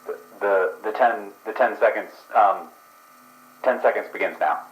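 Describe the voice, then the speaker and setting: A man's voice: on the low side, a little slow, echoey room, lightly acted, poor audio. A man voicing a hesitant, procedural dream investigator who stumbles over his words in an actual play podcast. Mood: formal.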